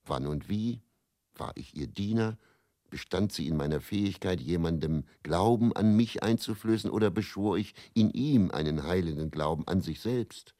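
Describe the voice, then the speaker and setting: An actor with pronunciation playing a weary, self-doubting male faith healer who confesses deliberately and broodingly in a radio play monologue. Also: low voice, warm tone, no echo, clean studio sound, lightly acted. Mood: brooding, questioning.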